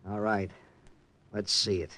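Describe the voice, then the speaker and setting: A man's voice: lowish voice, flat delivery, a little slow, pushed and bright, no echo. An actor playing a terse, resolute captain who narrates his own spy mission, authoritative and clipped, in a 1940s radio drama style. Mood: commanding. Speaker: lead and narrator. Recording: old radio drama with musical underscore.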